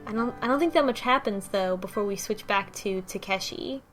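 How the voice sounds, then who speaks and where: A woman hosts a casual discussion, retelling a story in a loose, conversational manner. Her voice sits at an ordinary pitch for a woman, with faint music underneath. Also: brisk. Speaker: book club podcast host.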